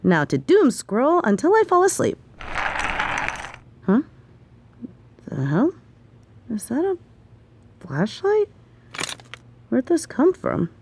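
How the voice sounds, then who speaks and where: A young adult woman performing a scripted horror narration, telling the story in a hushed, tense manner. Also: sits high, huge pitch swings, takes it slowly, pauses often, slight background noise. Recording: very echoey room.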